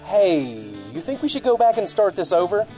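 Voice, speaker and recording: A man's voice: sits high, sing-song, moderate pace, music in the background. A man playing a blunt, boastful, crude county commissioner in a comic radio commercial. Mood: insulting. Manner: blunt, insulting.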